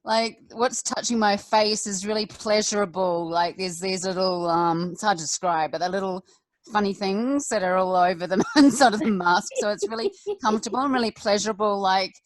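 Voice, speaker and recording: A woman's voice: mid-range voice, normal speed, dry recording. A woman chatting casually as a guest, giggly and often searching for her words. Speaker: guest performer. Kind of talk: casual chat.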